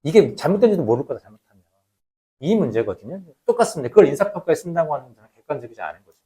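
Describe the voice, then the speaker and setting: Male lecturer, researcher type, voice a little high, explanatory and emphatic delivery. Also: sing-song, racing, mellow. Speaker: labour researcher giving a lecture.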